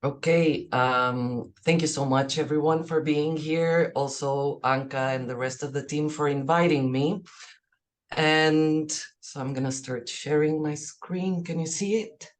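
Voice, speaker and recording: A woman's voice: low voice, a little slow. A woman from Portugal with a Portuguese accent gives an online academic presentation as a researcher, reflective and often checking her points with "right?". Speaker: academic researcher, keynote speaker. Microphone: video call.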